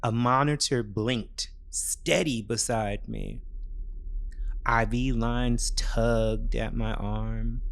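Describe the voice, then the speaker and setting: A man giving a dramatic first-person narration of a thriller story. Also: mid-range voice.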